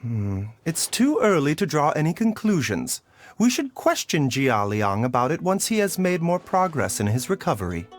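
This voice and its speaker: A man playing a composed, learned doctor in a video game, speaking formally in measured explanations. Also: on the high side, big pitch swings. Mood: measured doubt.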